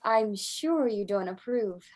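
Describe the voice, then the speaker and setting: A woman's voice: medium pitch, expressive, slow pace, a little mellow. A woman playing a gracious, courteous young daughter in an old-time radio style drama. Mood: apologetic, courteous. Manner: courteous, proper. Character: polite American daughter, young gracious new manor owner.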